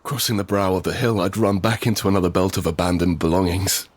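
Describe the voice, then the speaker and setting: A man playing a frightened, desperate father in an apocalyptic audio drama, speaking with halting, intense urgency. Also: low voice, fairly even intonation, a little hiss or hum, lightly acted. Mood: tense, grim. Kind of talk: first-person scripted audio drama narration with acted dialogue.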